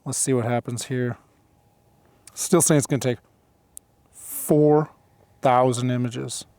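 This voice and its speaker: A man's voice: mid-range voice, fairly even intonation, slow, darkish tone, no room sound. A middle-aged man giving casual running commentary as he hosts a gear demonstration outdoors. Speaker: drone pilot and video host. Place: outdoors in open dry hills.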